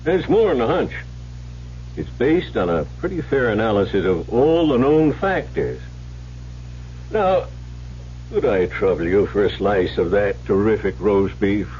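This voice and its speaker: An actor playing a folksy, shrewd and confident country sheriff in an old-time radio drama. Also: male voice, fairly low pitch, lively intonation, unhurried, a little mellow. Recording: muffled, noisy old radio recording.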